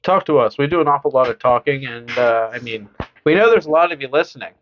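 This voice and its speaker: A young man chatting as podcast co-host, upbeat and quick to laugh. His voice sits at a middle pitch. The mic sounds a bit dull and muffled. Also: animated, fairly bright tone, a little hiss or hum. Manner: upbeat, laughs often, playful asides. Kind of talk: casual chat.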